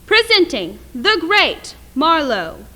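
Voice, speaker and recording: A woman's voice: high voice, sing-song, takes it slowly, bright, forceful tone, full character voice. A woman playing a grandiose nightclub announcer in a radio play, theatrical and proclaiming. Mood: showy. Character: grandiose nightclub announcer hyping a mind reader.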